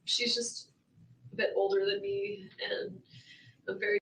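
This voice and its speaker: A woman in her thirties answering questions in a recorded police interview, hesitant and full of pauses. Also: mid-range voice, sing-song, vocal fry, a bit bright. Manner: hesitant, many "um"s. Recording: echoey room audio from far off.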